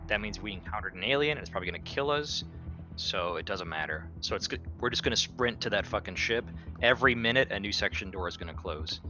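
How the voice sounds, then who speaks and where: A man's voice: low-pitched, dull audio. A man playing a tabletop roleplaying game, bluntly planning aloud in casual, sometimes profane talk. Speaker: player.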